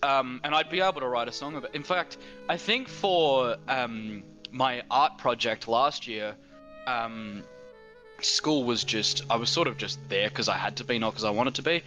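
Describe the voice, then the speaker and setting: Bright-voiced Australian teen guy. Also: mid-range voice, normal speed, faint music behind, poor audio. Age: teens. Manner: rambling, many fillers and restarts. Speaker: guest. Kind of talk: casual chat.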